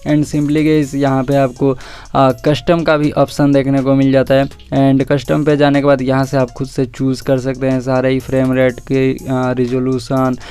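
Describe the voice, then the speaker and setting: A man's voice: medium pitch, monotone, moderate pace, mellow, smooth, soft background music. An Indian man giving a casual phone tutorial as a tech video host, mixing in English words and addressing viewers as guys.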